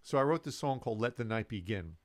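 An older man, a musician, telling his story in a relaxed, rambling way with many fillers and restarts. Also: medium pitch, quick pace, dry recording. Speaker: musician guest. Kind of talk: casual storytelling interview.

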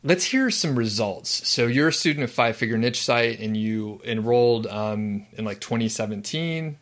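A man's voice: mid-range voice, animated, normal speed. A man hosting a casual interview, upbeat and encouraging, with some fillers. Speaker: host.

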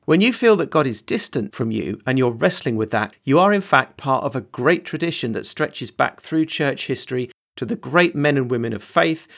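A man hosting a faith podcast, reading a scripted intro and then chatting with a guest in an earnest, measured way. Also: mid-range voice, fairly bright tone. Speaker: podcast host.

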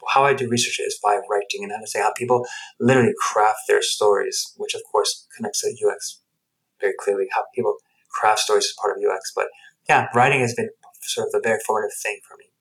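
A man's voice: on the low side, fairly even intonation, quick pace, a bit bright, low-quality recording. A middle-aged man telling personal stories as an interview guest, reflective and emphatic, often rephrasing himself. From United States and Guatemala. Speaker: interview guest, anthropologist and researcher.

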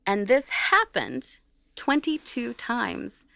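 A woman's voice: on the high side, slow, creaky. A woman co-hosting a podcast, telling a story casually and acting out what people said as she goes. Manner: animated retelling, acts out quoted remarks. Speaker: podcast co-host.

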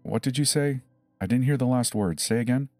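Man as a calm, insistent emergency dispatcher. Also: medium pitch, a little fast, no echo, faint music behind, natural voice. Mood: insistent, probing. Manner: reassuring, methodical, probing with short questions. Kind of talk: dramatized horror story narration.

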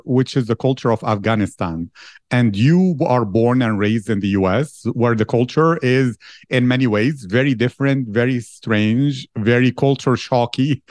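A man's voice: mid-range voice, a little mellow, no room sound. A man hosting an interview, summarizing his guest's answers and asking thoughtful, probing questions. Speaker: podcast host.

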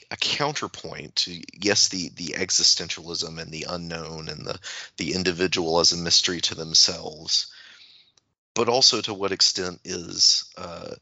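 A man in a reflective literary discussion, halting and searching as he thinks aloud and circles back over phrases. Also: deep voice, gravelly, bright, forceful tone, no room sound, highs cut off. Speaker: podcast panelist.

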